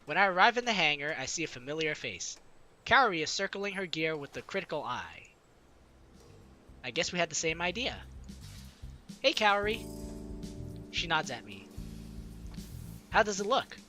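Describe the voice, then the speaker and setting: A man's voice: on the high side, sing-song, normal speed, pauses often, bright, forceful tone. A man hosting a let's play, reading a visual novel aloud with casual asides and a giggly manner. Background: game music.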